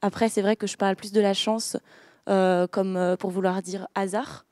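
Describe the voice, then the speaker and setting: A woman's voice: mid-range voice, flat delivery, normal speed, warm tone, no echo, studio quality. A young woman from France, an author answering interview questions with many hesitations and restarts. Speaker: author guest. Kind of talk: interview conversation.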